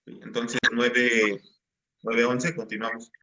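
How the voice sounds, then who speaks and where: A man's voice: mid-range voice, level tone, slow, pushed and bright, low-quality recording. A man, patiently explaining assignments to his class over a video call. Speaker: teacher. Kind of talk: online class instruction.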